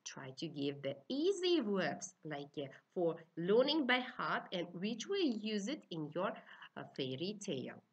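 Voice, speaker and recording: A middle-aged woman with an Uzbek accent, lecturing to trainee teachers in simple, halting, instructive phrases. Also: lowish voice, sing-song, dull audio. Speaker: university teaching-methods lecturer.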